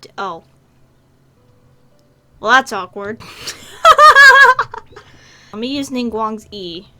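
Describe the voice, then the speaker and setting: A woman's voice: mid-range voice, extremely slow, pushed and bright. A woman streamer giving casual, offhand gameplay commentary with dry, self-amused quips. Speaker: video game streamer.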